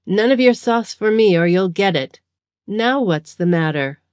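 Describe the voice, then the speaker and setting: A woman voicing a coarse, rough-spoken male thug who mocks his captive. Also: medium pitch, animated, a little slow, darkish tone, dry recording, studio quality, natural voice. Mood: mocking. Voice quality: rough, common speech.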